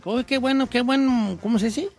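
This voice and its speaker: A man's voice: very high-pitched, normal speed. A man with a Mexican accent hosting a radio show in casual comedic banter, slangy and teasing as he reacts to listeners.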